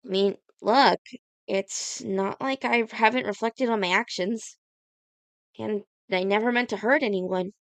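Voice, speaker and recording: A woman's voice: medium pitch, measured pace, no echo, natural voice. A woman playing an earnest, guilt-ridden teenage girl in a cartoon comic dub, speaking in a sincere, dramatic way. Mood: defensive, upset.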